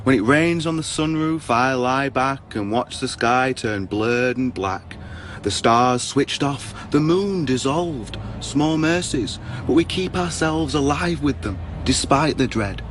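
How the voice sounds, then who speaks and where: A man's voice: medium pitch, lively intonation, takes it slowly, a bit bright, slight background noise. A man acting a sardonic, charming, down-on-his-luck salesman in a radio play, delivering a wry, rueful verse monologue. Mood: wakeful, wistful. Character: sardonic charming half-Latin salesman sleeping rough in car. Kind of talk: poetic radio drama acting.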